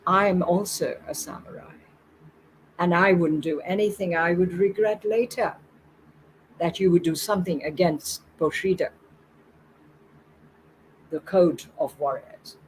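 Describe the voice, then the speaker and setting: A woman voicing a calm, wise old male samurai with a measured, dignified delivery. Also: low voice, slight background noise, poor audio.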